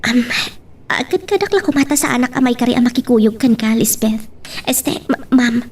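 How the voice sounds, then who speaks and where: Woman playing a high-voiced, modest young woman. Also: animated, moderate pace, darkish tone, distant mic, natural voice. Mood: shy, polite. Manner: hesitant, polite. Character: modest, deferential young working woman, hesitant. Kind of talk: Ilocano radio-style drama acting. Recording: noisy track with ambient sound.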